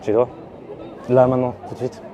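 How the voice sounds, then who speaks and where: A man's voice: mid-range voice, little pitch movement, brisk, mellow, a faint crowd. A man chatting up a stranger in a metro passageway, flirty and teasing, with casual slang. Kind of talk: casual street chat.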